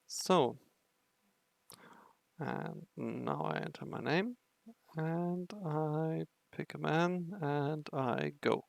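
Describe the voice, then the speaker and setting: A man with a creaky voice doing game commentary and reading story narration aloud. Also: on the high side, very slow, pauses often, no echo. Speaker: gamer narrating a playthrough. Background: faint music.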